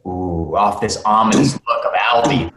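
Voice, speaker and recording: A man's voice: fairly low pitch, measured pace, a bit bright, faint music behind. Echoey male narrator reading stage directions. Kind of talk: scripted narration at a table read. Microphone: video call. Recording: echoey room over video call.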